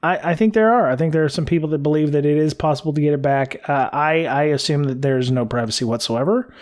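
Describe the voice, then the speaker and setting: A man's voice: a little high, fast talker, darkish tone. An American man co-hosting a podcast in casual conversation, talking in a hesitant, rambling way. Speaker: podcast co-host.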